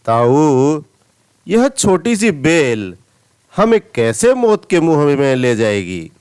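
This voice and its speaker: A man reads a young storybook goose who scoffs at his elder. The delivery is dismissive and mocking, yet slow, with a melodic, higher-set pitch. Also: sing-song, a little mellow, clean tone, no room sound, clean studio sound. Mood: amused doubt. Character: young scoffing male geese doubting their elder.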